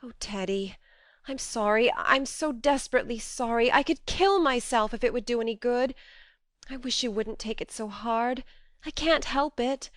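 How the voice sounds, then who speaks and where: A woman playing an earnest, strong-willed young woman, speaking gently but firmly in a slightly raised, smooth voice. Her tone is close and dry. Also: on the high side, normal speed, dry recording, pitched above the performer's own voice, lightly acted. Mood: remorseful. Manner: earnest, reasoning, gently firm.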